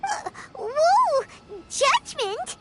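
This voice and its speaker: A woman voicing a tiny, childlike, excitable fairy sidekick in an anime-style game, squeaky and theatrical. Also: very slow, very noisy, full character voice. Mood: startled, nervous. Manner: theatrical, exclamatory, speaks of self by name.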